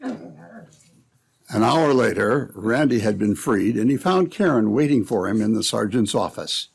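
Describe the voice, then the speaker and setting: Highly animated male radio announcer. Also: a little slow, vocal fry, breathy edge. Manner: dramatic, emphatic delivery. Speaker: announcer and narrator. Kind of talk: scripted old-time radio narration.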